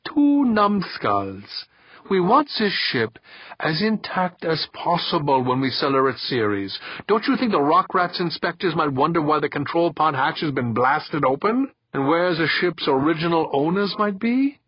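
A man's voice: medium pitch, animated, normal speed, husky, no room sound, muffled recording, pitched above the performer's own voice. A man voicing a domineering space-pirate skipper in an audiobook, with a scornful, commanding grumble.

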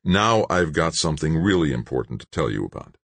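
A man voicing a gruff, blunt male company boss who grumbles. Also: a bass voice, very animated, a little fast, no echo, full character voice.

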